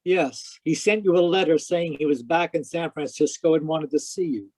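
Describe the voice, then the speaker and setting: Give this male actor's hard-boiled radio detective a slightly high voice and a clipped, wry delivery. He is brisk and matter-of-fact, narrating and questioning like an old-time mystery hero. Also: darkish tone, close to an everyday voice, rough recording. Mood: firm, dry. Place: home, live video call performance.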